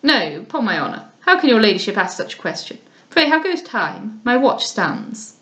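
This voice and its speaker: A woman's voice: fairly high pitch, big pitch swings, normal speed, pushed and bright. A woman voicing a careless, indolent young male lord, speaking in an offhand, drawling way. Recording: roomy echo.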